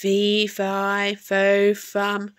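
A woman voicing a huge, scary, hungry giant man in a booming, menacing chant for a storybook. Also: completely flat delivery, extremely slow, clear, resonant tone, no echo, clean studio sound.

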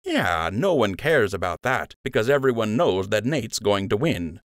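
A man's voice: lowish voice, very animated, moderate pace, dry recording, clean studio sound. A man voicing a teasing young male rabbit friend who ribs with a smirk. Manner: smirking, playful ribbing.

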